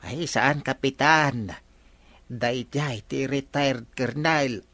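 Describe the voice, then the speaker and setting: A man's voice: medium pitch, very slow, bright, forceful tone, no room sound, exaggerated voice. An actor with an Ilocano accent playing a deferential male villager in a comedy radio drama. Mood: puzzled, respectful.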